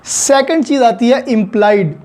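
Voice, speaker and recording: A man with a Pakistani accent teaches in a notably high voice with a mellow, rounded tone. He explains methodically, laying out each point and repeating it with examples, switching into English for technical terms. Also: moderate pace. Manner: didactic, spells out points with examples and repetition. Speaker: law teacher. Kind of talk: explanatory lecture mixing Urdu with English legal terms.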